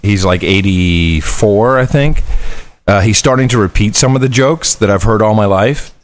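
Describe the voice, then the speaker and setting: A man's voice: lowish voice, normal speed. A man hosting a podcast, chatting casually and telling stories in a rambling way. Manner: rambling, animated storytelling. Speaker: podcast host. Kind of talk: casual chat.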